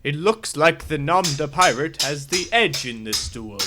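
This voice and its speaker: An actor playing a dramatic adventure-serial narrator, reading scripted radio drama narration. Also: male voice, on the high side, lively intonation, unhurried. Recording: clearly noisy recording.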